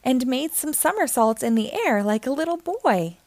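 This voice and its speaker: A woman hosting storytime, reading a children's picture book aloud in an expressive, deliberate storytelling manner. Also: high voice, no echo. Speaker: storytime host and reader.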